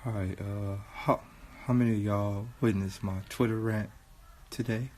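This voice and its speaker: A man from Watts. He is hoarse and losing his voice, talking slowly to his viewers in a casual monologue and repeating lines for emphasis. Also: lowish voice, steady tone. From Watts, California. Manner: slow and deliberate, repeats lines for emphasis, grows louder. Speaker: host. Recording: faint background noise.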